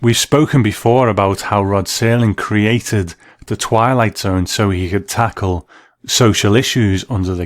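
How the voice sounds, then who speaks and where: A man's voice: fairly low pitch, dry recording, clean studio sound. A man hosting a talk program, giving scripted commentary and reading from articles. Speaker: host.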